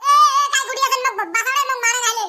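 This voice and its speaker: A performer voicing a cheeky, squabbling cartoon village boy with an artificially pitched-up squeaky voice and a northern Bengali accent. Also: racing, bright, forceful tone, full character voice. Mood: panicked. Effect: pitch-shifted up. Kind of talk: comic cartoon dialogue in rural dialect.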